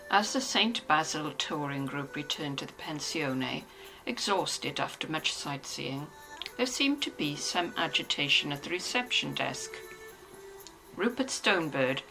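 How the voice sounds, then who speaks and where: Bright, low-pitched woman narrator. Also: rough recording. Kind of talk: scripted literary narration.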